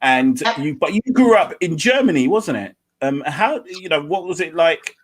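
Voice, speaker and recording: Enthusiastic male co-host, slightly high. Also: expressive, normal speed. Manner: enthusiastic, filler-heavy. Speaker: podcast co-host. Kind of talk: casual interview.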